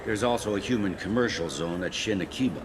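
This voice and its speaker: A man's voice: lowish voice, fast talker, a crowd in the background, close to an everyday voice. A man playing a wry, pragmatic police captain on a space station in a video game. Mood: blunt. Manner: wry, blunt, matter-of-fact. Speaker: security captain character. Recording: clearly audible ambient noise.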